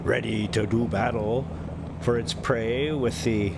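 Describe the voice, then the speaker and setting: A man reading a storybook aloud with folksy, self-mocking asides, halting over the hard words. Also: steady tone. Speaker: story reader and host. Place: inside a moving diesel motorhome on a city drive. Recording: clear vehicle rumble behind voice. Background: motorhome engine and road noise.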